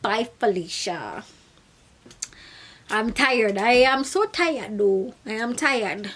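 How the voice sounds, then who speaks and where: A young woman from Kenya reviewing a reality show in a casual vlog, sassy and animated, acting out the people she quotes. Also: on the high side, slow, slightly rough.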